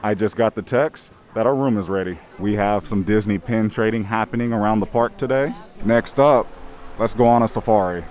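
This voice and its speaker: A man with a slightly raspy voice narrating an upbeat, chatty vlog outdoors at a theme park. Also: fairly low pitch, normal speed, darkish tone. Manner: enthusiastic, chatty.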